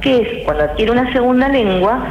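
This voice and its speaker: A woman, a guest linguist on a radio interview, explaining in a measured, academic way with many hesitations. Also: a little high, lively intonation. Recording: roomy, muffled radio with hiss.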